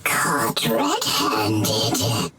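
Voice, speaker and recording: A man's voice: on the low side, extremely slow. A man voicing a gloating, theatrical villain mage in a video game, drawing out taunts melodramatically. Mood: gloating, thwarted. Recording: loud music under the voice.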